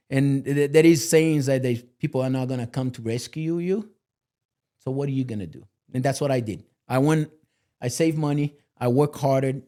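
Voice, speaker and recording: Male guest, mellow and earnest, motivational, filler-heavy. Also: mid-range voice. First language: Portuguese. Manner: earnest, motivational, lots of "you know" fillers. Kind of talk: candid podcast interview.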